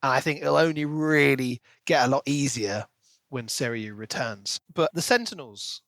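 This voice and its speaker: A man's voice: mid-range voice, normal speed, fairly bright tone, no echo, studio quality. A man co-hosting a casual book discussion, talking in an enthusiastic, speculative and conversational way. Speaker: podcast co-host.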